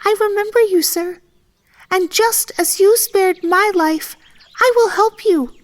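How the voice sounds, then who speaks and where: A tiny storybook mouse, with a very high, squeaky little voice. She is brave and polite, and she speaks with earnest courtesy. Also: a little slow. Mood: kind, resolute.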